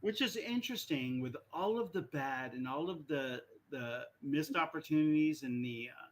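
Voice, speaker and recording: A man's voice: a little high, moderate pace. A man co-hosting a podcast in a casual, conversational style. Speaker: podcast co-host. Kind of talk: casual chat.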